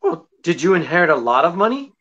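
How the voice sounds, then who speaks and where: A man's voice: on the high side, big pitch swings, fast talker, pushed and bright, exaggerated voice. A middle-aged man playing a genial, unflappable detective in old-time radio mystery style, with hesitant, wry interjections. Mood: wry, doubtful. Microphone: video call. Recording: dull lo-fi sound, music behind.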